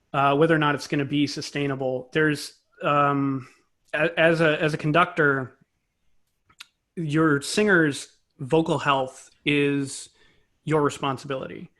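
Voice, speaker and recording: A man's voice: a little high, fairly even intonation, unhurried, fairly bright tone. A man speaking as an interview guest, a composer and conductor explaining things conversationally in a thoughtful, self-correcting way.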